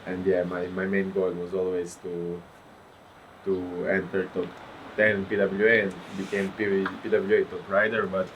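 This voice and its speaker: A man with a Croatian accent and a fairly low voice, speaking as a guest on a casual podcast. He tells his story in an animated way, often pausing to search for the right word. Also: slight background noise, rough recording. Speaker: guest, pro windsurfer.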